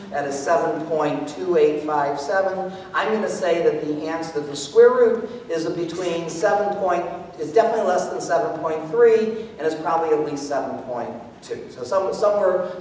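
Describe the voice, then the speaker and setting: Echoey stage showman, a middle-aged man. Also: a little high. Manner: animated showman, thinking out loud. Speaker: mental math performer and lecturer. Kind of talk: live stage show explaining calculations aloud. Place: indoor theater stage. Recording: echoey hall, far from mic, noisy.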